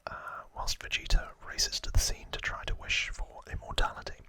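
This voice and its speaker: A man hosts ASMR in a constant breathy whisper with a bright, crisp hissing edge. He tells trivia informally, feeling his way through it from memory. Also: fairly quick. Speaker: ASMR host.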